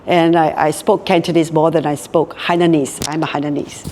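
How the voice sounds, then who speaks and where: A woman's voice: low-pitched, little pitch movement, normal speed, darkish tone, in a large hall, a little hiss or hum. A Singaporean woman in her later years, speaking reflectively in an interview and often repeating words as she thinks. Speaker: guest, hotel group co-founder. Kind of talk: casual interview talk.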